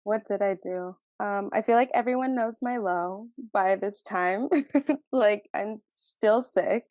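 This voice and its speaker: A woman's voice: medium pitch, slow, a little mellow, no room sound. A woman in her twenties, co-hosting a podcast, with a stuffy, congested sound and a sore throat. She laughs often between phrases. The recording is dry and close, with no room sound. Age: 20s. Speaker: podcast co-host. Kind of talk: casual chat.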